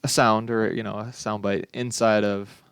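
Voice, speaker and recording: A young adult man giving a casual software demonstration as a student, informal, offhand and a little tentative. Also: lowish voice, little pitch movement, moderate pace, smooth, no room sound, clean studio sound. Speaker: student presenting a final project.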